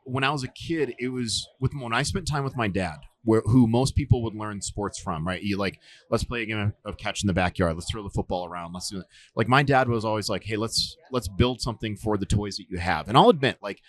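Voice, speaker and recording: Rambling man in his forties, lowish voice. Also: a little fast, hardly any pauses. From United States. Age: 40s. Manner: rambling, many fillers and restarts. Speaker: podcast guest, survival instructor. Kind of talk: casual storytelling interview.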